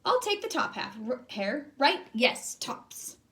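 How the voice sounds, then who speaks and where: A woman's voice: high-pitched, big pitch swings, slow, pushed and bright, pitched above the performer's own voice, exaggerated voice. A woman voicing a lazy, grumpy, easily duped male bear in a drawling, grumbling way for a children's storybook. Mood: drowsy, unsure. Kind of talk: children's storybook read-aloud.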